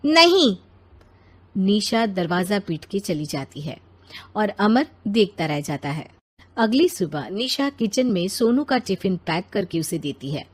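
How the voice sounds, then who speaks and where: A woman narrating an animated moral story in a scripted storytelling style. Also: medium pitch, a little slow.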